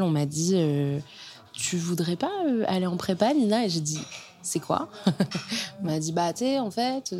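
Low-voiced young woman chatting casually. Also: a little mellow. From Normandy, France. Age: young adult. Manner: chatty, informal, many fillers. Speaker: podcast guest, journalist. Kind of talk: casual interview talk. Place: converted house bar, in a bathtub.

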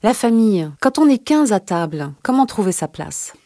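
A woman hosting a podcast, reading an introduction and asking calm, measured interview questions. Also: mid-range voice, no echo, studio quality. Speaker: podcast host.

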